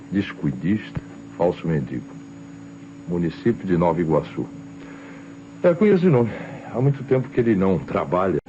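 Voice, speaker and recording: An actor playing a calm, sceptical Brazilian police inspector in an old radio drama, questioning with deliberate authority. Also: male voice, low-pitched, noisy background. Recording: dull vintage radio recording, faint music.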